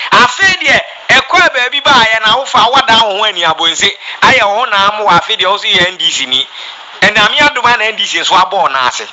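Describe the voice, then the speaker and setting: High-pitched Ghanaian man ranting over crowd noise. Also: low-quality recording. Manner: scolding, emphatic, repeats words. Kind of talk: impassioned political rant.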